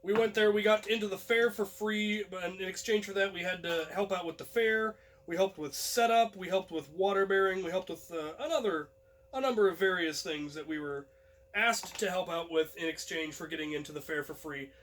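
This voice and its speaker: A young man hosting a vlog, telling a personal story casually to his viewers in an animated way. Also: high-pitched, moderate pace. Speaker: host and storyteller.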